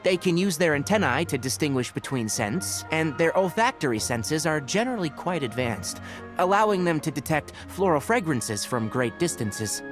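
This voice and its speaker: An actor playing a patient young male ranger scholar in a game, measured and explanatory. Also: a little high, big pitch swings, music in the background. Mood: explaining. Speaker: game character.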